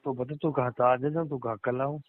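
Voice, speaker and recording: A man's voice: medium pitch, fairly even intonation, a little fast. A man with a Mewati accent chatting informally in rustic dialect on a recorded phone call. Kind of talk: casual private phone chat. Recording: tinny phone line, roomy echo.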